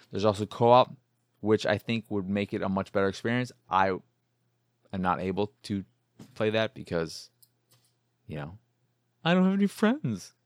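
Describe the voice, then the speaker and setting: An American man with a fairly low voice talks as a podcast host reviewing video games. The sound is dry, with very little room in it. His delivery is loose and rambling, self-amused and freely sweary. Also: no echo. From Chicago, United States. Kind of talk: casual solo game review talk.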